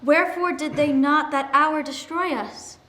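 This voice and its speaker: Woman acting high, bright Shakespearean young daughter. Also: unhurried, slight background noise. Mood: distressed, pitying. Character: innocent, attentive young daughter of an exiled duke. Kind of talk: Shakespearean dramatic reading. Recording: echoey room.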